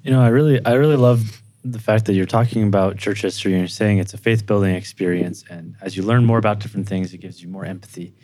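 A man with a fairly low voice hosts a conversational interview. He is affirming and checks in as he goes. The recording is dry, with very little room sound. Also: quick pace, mellow, dry recording. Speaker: podcast host.

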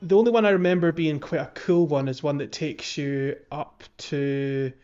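A man's voice: on the high side, fairly even intonation, moderate pace, a little mellow, dull audio, faint music behind. A man giving casual, chatty gaming commentary as a podcast host, telling things informally.

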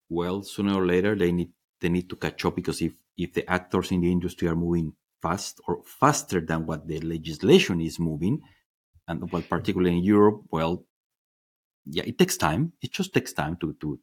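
Guy hosting a podcast interview, low voice, halting, repeats his words a lot. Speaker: podcast host. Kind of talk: conversational interview.

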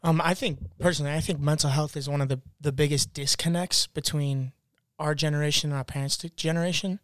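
Clear, higher-voiced young man. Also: fairly even intonation, fast talker, no echo, clean studio sound. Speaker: podcast guest. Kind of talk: casual discussion.

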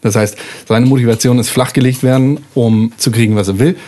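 A man's voice: mid-range voice, steady tone, fairly quick, warm tone. Man, mid-range voice, game moderator, casual rule explanations, tabletop storytelling. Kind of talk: explaining rules and casually narrating a tabletop role-playing game.

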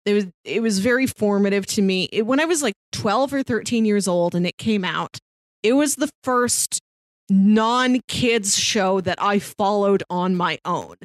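A young adult woman chats casually about television as a podcast host. Her voice sits at an average pitch and is recorded dry, with no room sound. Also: unhurried, no echo. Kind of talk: casual chat about TV shows.

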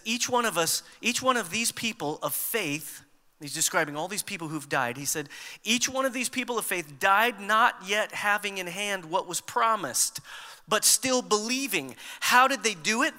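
A man in his fifties preaching a sermon as a pastor, conversational and animated, mixing scripture with humor. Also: high voice, big-room sound.

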